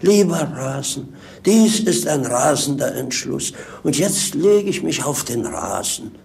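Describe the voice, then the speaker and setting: An actor playing a witty, earthy vagabond companion in 1950s radio drama, declaiming with theatrical mock grandeur. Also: male voice, medium pitch, big pitch swings, unhurried, vocal fry, darkish tone, breathy edge, full character voice. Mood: drily comic.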